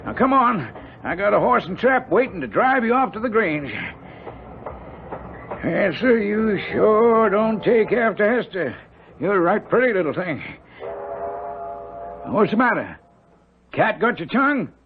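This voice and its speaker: A man's voice: high-pitched, sing-song, unhurried, long pauses, full character voice. An actor playing a kindly, folksy old American handyman, wheezy and chatty, in a radio drama. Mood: folksy, friendly. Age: older. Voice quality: wheezy, gravelly. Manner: rustic, chatty, teasing. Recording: lo-fi old radio broadcast, noisy.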